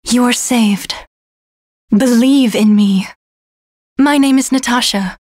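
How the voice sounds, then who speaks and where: A woman voicing a gentle, earnest young female temple cleric in a video game. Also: on the high side, takes it slowly, dry recording, clean studio sound. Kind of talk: game voice clips.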